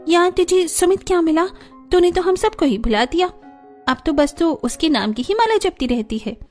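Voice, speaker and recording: A woman's voice: very high voice, lively intonation, normal speed, a little mellow, faint music behind. A woman voicing chatty young women in a cartoon family story. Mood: gossipy.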